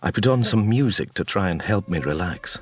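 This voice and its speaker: An actor playing a troubled psychic detective who narrates his own story in a radio drama, slow and brooding. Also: deep voice, animated, breathy edge, no echo, faint music behind. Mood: uneasy. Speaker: narrator and lead character.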